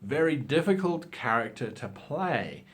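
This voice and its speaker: A man hosting an advice show, explaining things to viewers in an animated, theatrical and emphatic way. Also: mid-range voice, measured pace. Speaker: host of a role-playing advice show. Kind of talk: instructional talk to viewers with acted example lines.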